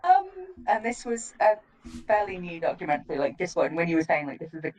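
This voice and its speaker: Low-voiced woman, distant from the mic. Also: expressive, fairly quick, darkish tone. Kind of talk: casual chat, anecdote.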